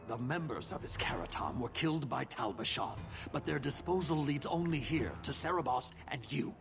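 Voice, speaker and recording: An actor playing a stern, zealous warrior-enforcer in a fantasy video game, speaking formally and with command. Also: male voice, mid-range voice, lively intonation, normal speed, creaky, music in the background. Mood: cold, commanding. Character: stern, zealous adult male warrior-enforcer of a rigid creed.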